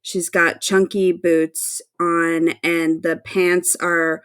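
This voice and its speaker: A woman with a slightly low voice chats as a podcast co-host. She is informal and enthusiastic, and is recorded close with very little room sound. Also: little pitch movement, drawn-out words, fairly bright tone, clean tone, no room sound. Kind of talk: casual fan discussion of an audio drama.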